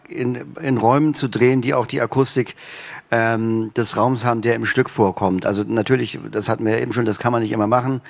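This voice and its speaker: Man, workshop leader on a panel, halting delivery, dry close mic. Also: medium pitch, creaky, no echo. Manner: hesitant, many fillers. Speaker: workshop leader, sound engineer. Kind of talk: panel discussion. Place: stage at a festival panel.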